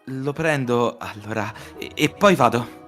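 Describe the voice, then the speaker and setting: A man voicing a modest, polite young gentleman in a live visual novel reading. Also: medium pitch, measured pace, soft background music, pitched below the performer's own voice, natural voice.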